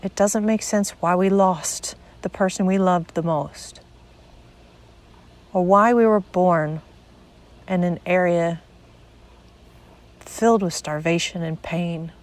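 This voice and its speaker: Slow, low-pitched woman guiding a meditation. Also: fairly even intonation. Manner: measured, deliberate, with pauses between short phrases. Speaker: guided meditation narrator. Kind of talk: slow guided meditation, spiritual monologue.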